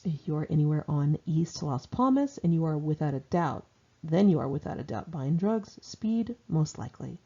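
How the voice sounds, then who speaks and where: Casting: woman narrator for a candid diary memoir, lowish husky voice, sardonic and animated in intonation, slightly muffled home recording. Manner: wry, sardonic, conversational delivery. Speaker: author reading own memoir aloud. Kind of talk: informal audiobook narration of diary entries.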